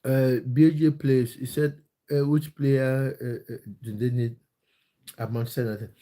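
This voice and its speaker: A man's voice: medium pitch, flat delivery, measured pace, dark tone. A man from Cameroon hosting a casual livestream, reading viewer comments aloud and answering them with plenty of fillers and repeated words. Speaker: livestream host answering chat. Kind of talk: casual livestream chat about football.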